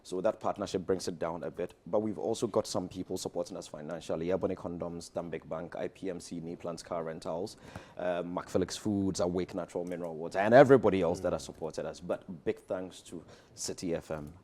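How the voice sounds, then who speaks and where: Fast-talking, low-voiced Ghanaian man, emphatic guest. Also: steady tone, a little mellow. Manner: emphatic, repeats phrases for effect. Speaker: theatre director guest. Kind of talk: animated promotional interview chat.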